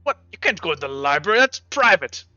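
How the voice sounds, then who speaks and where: A man's voice: high voice, sing-song, a little fast, sharp tone, dry recording, muffled recording, heavily acted. A man voicing a haughty, indignant head librarian in a tabletop game, officious and scolding.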